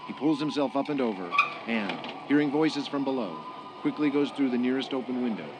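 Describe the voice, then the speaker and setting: A man's voice: medium pitch, lively intonation, a little fast, background noise. A man narrating a radio drama from a script in story-telling style. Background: prominent music.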